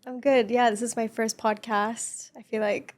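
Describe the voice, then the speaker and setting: A woman with a soft, gentle voice sitting a little above the usual pitch, talking through personal experiences as a podcast guest. Her speech is earnest and reflective, with easy laughter woven through a relaxed conversation. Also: normal speed.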